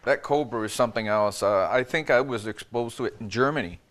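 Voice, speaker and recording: An older man, mid-pitched, interviews his guests in a friendly way. His questions often start, stop and restart. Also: a little fast, a bit bright. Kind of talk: conversational interview.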